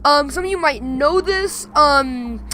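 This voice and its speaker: Young female host, high voice, informal chatty intro for a video channel. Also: animated, slow pace, sharp tone. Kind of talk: casual spoken introduction.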